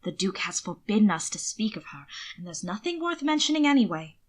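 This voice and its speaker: A woman's voice: medium pitch, very animated, brisk. A woman voicing a loyal, tactful, good-humoured male valet in a storybook reading. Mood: reluctant.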